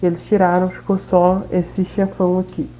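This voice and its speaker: A woman's voice: lowish voice, completely flat delivery, a little fast, warm tone, slight background noise. A woman with a Brazilian accent giving casual, informal gameplay commentary, often adding little tag questions. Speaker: gaming video host. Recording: dull, lo-fi sound, faint game music.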